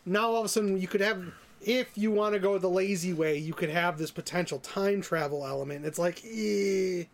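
High-voiced man musing casually. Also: moderate pace, vocal fry. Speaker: podcast co-host. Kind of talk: casual chat about comics.

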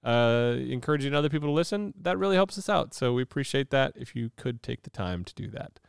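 A man co-hosting a podcast, talking casually while sick with a stuffy nose. He rambles through plenty of ums and uhs and laughs often. His voice is smooth, recorded dry and close. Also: mid-range voice, animated, quick pace, no room sound. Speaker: podcast co-host.

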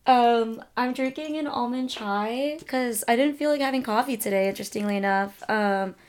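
Hoarse, loud young woman. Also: a little high, moderate pace. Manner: loud, excitable, laughs often, swears casually. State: hoarse, losing her voice. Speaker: podcast co-host. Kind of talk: casual chat.

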